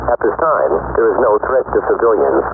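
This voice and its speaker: A man voicing an official emergency broadcast announcer, who reads in a flat, formal way. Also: on the low side, a little fast. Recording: tinny phone-like line with noise.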